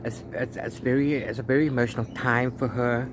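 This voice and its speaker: Man in a halting, noisy video diary. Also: mid-range voice, steady tone. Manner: halting, repeats and restarts words, playful sign-offs. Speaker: book vlogger, read-along co-host. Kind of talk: casual rambling video diary about a book. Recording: clear background noise, ambient sound.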